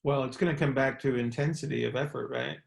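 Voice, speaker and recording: Male coach, fry-edged voice, explanatory and conversational, often checking understanding; roomy, echoing sound. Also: mid-range voice, fairly even intonation, fast. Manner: explanatory, checks in with rhetorical questions. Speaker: coach and mentor hosting a group call. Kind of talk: conversational expert advice. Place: indoor room, on a conference call.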